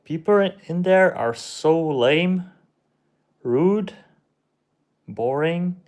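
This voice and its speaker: A young man whose first language is Czech, hosting a casual livestream and answering chat in a slow, hesitant, shrugging way. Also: fairly high pitch, lively intonation, a little mellow. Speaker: livestream host reading chat.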